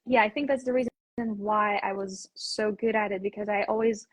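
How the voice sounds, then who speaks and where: A young woman from Poland, native in Polish, speaks English with an American accent. Her voice sits at an average pitch with a slightly husky edge. She talks casually, as an interview guest on a video call. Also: steady tone, normal speed. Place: indoors on an online video call.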